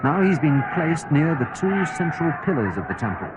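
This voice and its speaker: An actor playing an observant radio reporter giving a dramatized live on-the-spot commentary. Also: male voice, a little high, sing-song, normal speed, very noisy. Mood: measured, expectant. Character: on-the-spot male radio reporter, hushed commentary turning to panic. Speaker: roving reporter.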